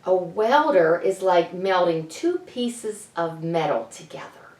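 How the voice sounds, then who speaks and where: A woman talks to young children as a storytime presenter, taking her time over each phrase. Her pitch moves up and down in a lively, teacherly way as she poses questions. She sounds set back from the microphone in a roomy space. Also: takes it slowly. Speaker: children's author presenting at a library story event. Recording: roomy echo, distant microphone.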